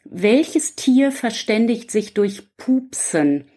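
A woman's voice: fairly high pitch, sing-song, takes it slowly, warm tone, breathy edge. A woman speaking as a guest author in a casual conversation, chatty and self-deprecating. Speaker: guest author and quiz writer. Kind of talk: casual chat.

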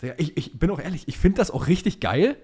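A man's voice: a little high, expressive, quick pace, crowd noise. A young adult man co-hosting a podcast, chatting casually with plenty of youth slang and emphatic opinions. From German, partly raised in Dubai. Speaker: podcast co-host.

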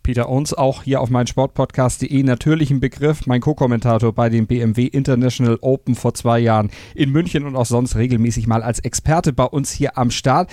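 A man's voice: medium pitch, fairly even intonation, fairly quick, few pauses, dark tone, no room sound, studio quality. A man hosting a sports podcast, asking fluent, conversational interview questions.

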